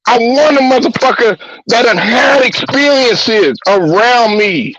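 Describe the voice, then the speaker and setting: High-voiced man ranting bluntly. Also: animated, unhurried, fairly bright tone, breathy edge, dry recording, lo-fi sound. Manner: blunt, repetitive, heated. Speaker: host. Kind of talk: profane sports-talk rant.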